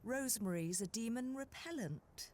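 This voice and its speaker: A woman's voice: fairly high pitch, lively intonation, moderate pace, darkish tone, natural voice. A woman playing a sultry, self-assured witch in a video game, coy, mocking and condescending. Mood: coy teasing. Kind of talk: theatrical video game voice acting. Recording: echoey game audio with clear noise.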